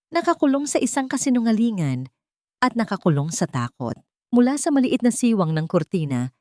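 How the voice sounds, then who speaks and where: A woman's voice: lowish voice, mellow, dry recording, clean studio sound. A woman reading a scripted love story as narrator, in a solemn, melodramatic manner.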